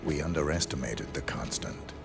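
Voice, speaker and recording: Very deep, stoic assassin. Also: male voice, moderate pace, creaky. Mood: guarded. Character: terse, stoic, cold male professional assassin. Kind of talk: cinematic video game dialogue. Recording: music behind the voice.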